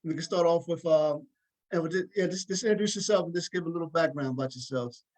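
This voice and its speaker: A man's voice: fairly high pitch, fast talker, darkish tone. A man hosting a casual interview, often doubling up words and phrases as he feels his way through questions. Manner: hesitant, repeats words and phrases. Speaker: talk show host interviewing a play's cast.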